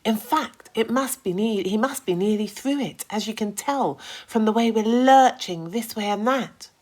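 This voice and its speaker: A woman's voice: on the high side, normal speed, a little mellow, natural voice. A woman voicing a kindly, reassuring lady ladybird in a children's story, explaining things patiently.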